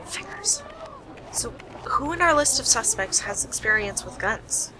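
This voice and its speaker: A woman playing a sarcastic, bossy detective in an amateur radio play, with a wry, brisk delivery. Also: high voice, sing-song. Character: sharp, sarcastic female detective leading a murder case. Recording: noisy amateur home recording.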